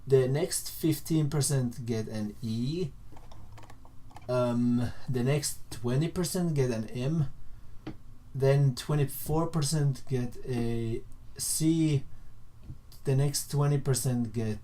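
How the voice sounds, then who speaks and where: A young man from Finland with a Finnish accent, a native Finnish speaker, explaining things casually in a halting way, repeating and rephrasing himself. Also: medium pitch, warm tone, slight background noise. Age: young adult. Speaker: vlogger. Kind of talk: casual informal explainer to camera.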